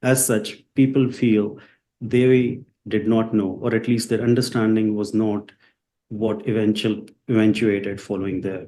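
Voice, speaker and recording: A man's voice: on the low side, little pitch movement, measured pace, dark tone. A man from India whose first language is Hindi, a psychiatrist answering interview questions with many fillers and restarts. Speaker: psychiatrist and company founder.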